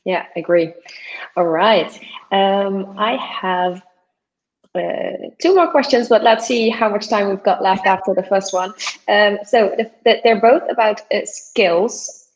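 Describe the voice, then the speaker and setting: A woman's voice: mid-range voice, slow pace. A woman hosting a live webinar, English not her first language, who gives brief encouraging replies and reads out audience questions. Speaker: webinar host. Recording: dull lo-fi video call audio.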